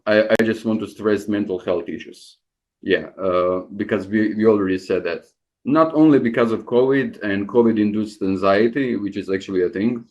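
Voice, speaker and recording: A man from Croatia joins a panel as a business owner, thinking aloud with restarts and fillers. His voice sits on the low side. The sound is muffled and lo-fi. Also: moderate pace, darkish tone. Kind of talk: panel discussion. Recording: dull lo-fi sound.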